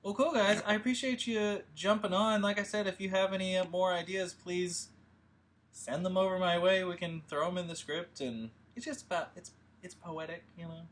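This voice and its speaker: A man hosting a casual livestream, talking in a rambling, halting way as he thinks aloud. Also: high-pitched, fairly even intonation, fairly bright tone. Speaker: host and filmmaker.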